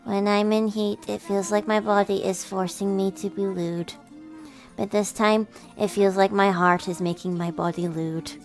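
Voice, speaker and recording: Woman acting soft-spoken cool cat girl, subdued, smooth, understated, visual novel character, faint music. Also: mid-range voice, normal speed, natural voice. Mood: softly coaxing. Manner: understated, flat, smooth delivery.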